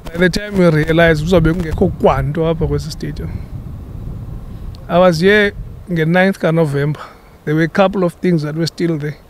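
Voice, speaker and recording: A middle-aged South African man giving an earnest, measured on-camera statement outdoors, speaking into a handheld reporter microphone and switching between isiXhosa and English. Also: on the high side, steady tone, slight background noise. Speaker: local businessman making a public appeal. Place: outdoors at an old sports stadium.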